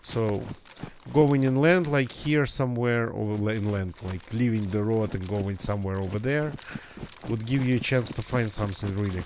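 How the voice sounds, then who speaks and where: A man's voice: lowish voice, steady tone, normal speed, darkish tone, slight background noise. A man streaming a survival game with casual, rambling commentary, his voice a little nasal and congested from a recent cold. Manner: rambling, self-correcting.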